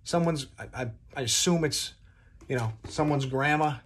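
A man in his twenties telling a casual, comedic story to camera in an animated, exaggerating way, recorded on a phone in a room at home. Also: a little high, moderate pace, slight background noise. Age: 20s. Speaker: vlogger. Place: small dim room at home, indoors.

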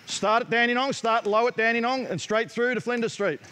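A middle-aged man with an Australian accent, coaching players in a gym with brisk, direct instructions. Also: very high voice, sharp tone, a little hiss or hum. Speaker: basketball coach. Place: large indoor basketball gym. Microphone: headset mic.

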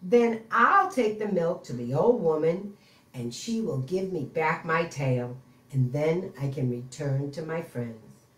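A woman voicing a pleading young male fox who lost his tail, in a high earnest tone. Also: animated, slow pace. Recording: roomy echo, far from mic.

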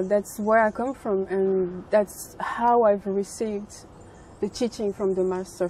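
A young woman from Congo speaks slowly and calmly, with a mellow tone. She is outdoors in a garden, with faint ambience around her. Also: medium pitch, a little hiss or hum, rough recording. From Republic of the Congo. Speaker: interviewee. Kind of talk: personal interview storytelling.

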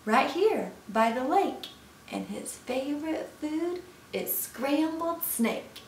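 A woman's voice: high-pitched, animated, slow, roomy sound, recorded from a distance, slight background noise, pitched above the performer's own voice, full character voice. A woman voicing a small, clever, boastful mouse in a storybook, speaking with emphasis. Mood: polite, sly.

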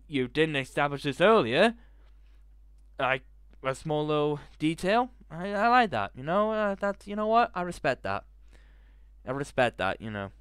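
A young man in his twenties reads a visual novel aloud and breaks in with lively, laughing commentary. His voice sits a bit high, clear and smooth, and its pitch moves around a lot. The headset mic gives a close, dry sound. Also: no echo. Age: 20s. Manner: animated, laughing asides and sudden shouts. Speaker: gaming channel host. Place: bedroom at a desk.

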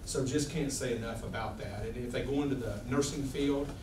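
A man's voice: medium pitch, a little fast. A man who presents students at a board meeting in an informal way, checking with the audience as he goes. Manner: informal, asks the audience for confirmation. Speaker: school administrator presenting students. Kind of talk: public speech at a board meeting. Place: large meeting room. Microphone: far camera. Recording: echoey room, distant camera, background noise.

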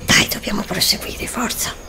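A middle-aged woman whispering an ASMR roleplay, pleading and anxious, recorded indoors on a desk studio mic. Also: moderate pace. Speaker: ASMR creator. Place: indoor room before a green screen.

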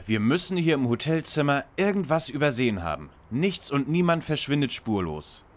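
A pedantic young sleuth in a spoof detective radio play, voiced by a man. He has a medium-pitched voice with lively movement and lays out deductions in wordy, matter-of-fact fashion. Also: moderate pace, close to an everyday voice. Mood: deducing. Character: know-it-all, deductive young male lead detective. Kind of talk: parody detective audio drama acting.

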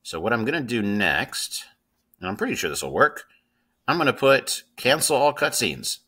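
A man's voice: medium pitch, sing-song, moderate pace, sharp tone, slightly rough. A man in his thirties giving an informal, step-by-step screen tutorial as a coding teacher, often checking in with the listener. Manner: informal, instructive, checks in with "right?" and "okay?". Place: indoors at a computer.

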